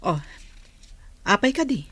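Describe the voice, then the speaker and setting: An actress with an Ilocano accent playing a caring, outspoken older-sister figure in a radio drama. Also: female voice, on the high side, very animated, measured pace, fairly bright tone, full character voice. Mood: surprised, frank.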